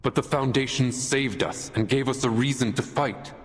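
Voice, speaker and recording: An actor playing an earnest, resolute military officer in a game, making a measured, formal and persuasive case to a tribunal. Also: male voice, medium pitch, lightly acted. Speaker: soldier defending himself at a tribunal. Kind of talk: dramatic game voice acting. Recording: long hall reverb, music behind.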